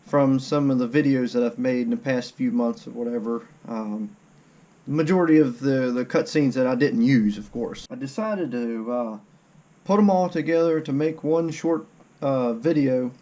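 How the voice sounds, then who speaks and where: A man with a husky voice talking casually to camera as a video blogger, rambling a little and hesitating as he goes. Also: mid-range voice, normal speed, mellow. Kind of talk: casual vlog talk to camera.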